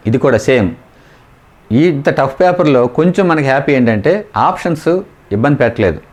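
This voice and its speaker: A man with a Telugu accent and a mid-pitched voice teaches in an informal coaching style. He explains exam questions step by step and repeats the key terms as he goes. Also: expressive, fairly quick.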